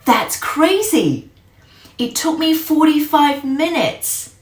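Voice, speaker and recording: Storybook kid voiced by a woman: young boy, animated, excitable, slightly sheepish, raised pitch, roomy echo. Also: takes it slowly, full character voice. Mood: amazed. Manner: animated, exclaiming. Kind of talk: storybook character voice. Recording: echoey room.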